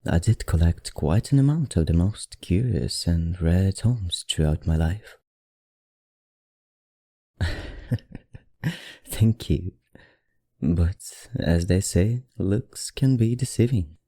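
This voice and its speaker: ASMR roleplay: male actor as a centuries-old vampire librarian, husky and close-miked dry, old-fashioned courtesy, softly teasing, affected accent. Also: low-pitched, takes it slowly, dry recording, full character voice. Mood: gracious, mysterious. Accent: put-on, not his own. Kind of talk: ASMR roleplay, addressing the listener.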